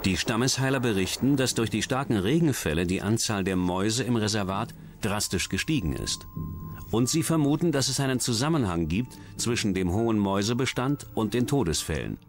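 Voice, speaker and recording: Low-voiced man narrating a documentary over music. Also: expressive, fairly quick. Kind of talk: scripted true-crime documentary narration. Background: background music.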